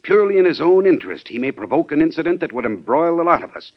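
An actor playing a worried, deferential Secretary of the Navy, formal and earnest, in an old-time radio drama. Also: male voice, on the high side, very animated, quick pace. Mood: anxious, respectful. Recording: dull, lo-fi vintage radio recording.

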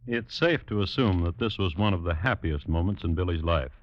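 A man's voice: sits low, fairly quick, natural voice. A man with an American accent delivering wry, folksy scripted narration for an old-time radio western. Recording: muffled old radio recording.

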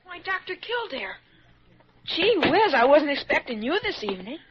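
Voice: sits high, sing-song, moderate pace. An actor playing an earnest, slightly hurt schoolboy in an old-time radio drama, with plain, slangy, boyish talk. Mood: innocent, eager. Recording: muffled vintage radio recording.

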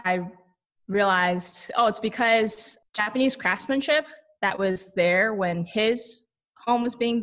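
A woman with a slightly low voice talks as an interview guest. She tells personal stories conversationally, in a reflective and informal manner, thinking aloud as she goes. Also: a bit bright. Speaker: podcast guest, architecture researcher.

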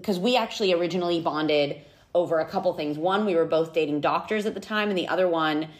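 A woman's voice: on the low side, quick pace. A woman in her thirties, a podcast host and nutrition coach, chatting casually and upbeat with her best friend. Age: 30s.

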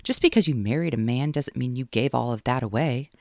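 A reader voicing a brash, cheering southern woman friend with a drawl and loud, emphatic delivery in an audiobook. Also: mid-range voice, sing-song, moderate pace, gravelly, dry recording, studio quality, pitched above the performer's own voice.

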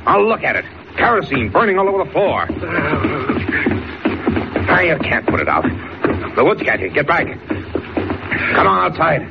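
An actor playing a bossy, irritable racketeer in an old radio drama, talking in gruff tough-guy slang. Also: a little high, sing-song, a little slow, pauses often, loud music behind the voice, full character voice. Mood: urgent, angry. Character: bossy, irritable male racketeer gang boss. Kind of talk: 1940s radio acting. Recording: noisy, dull old radio recording.

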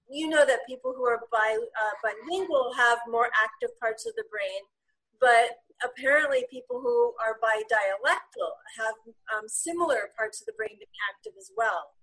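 A woman's voice: on the high side, a bit bright, breathy edge, low-quality recording. A woman leading a class discussion on a video call, explaining with frequent ums.

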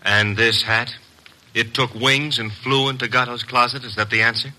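An actor playing a cocky, wisecracking detective's assistant in 1950s radio style, wry, slangy and needling. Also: male voice, mid-range voice, steady tone, normal speed, sharp tone, rough recording. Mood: probing, sardonic.

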